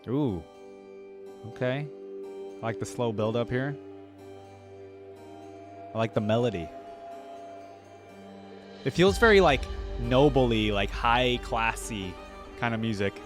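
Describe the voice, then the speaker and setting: A man's voice: mid-range voice, slow pace, long pauses. A man giving casual, enthusiastic reaction commentary as the host of a fan channel, speaking informally with slang. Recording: music playing behind the voice. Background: video game theme music.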